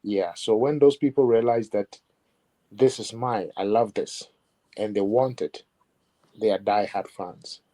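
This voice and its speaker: A man being interviewed as a musician, talking in a measured, reflective way with many "you know" asides. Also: lowish voice, warm tone. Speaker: musician guest. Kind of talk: interview conversation.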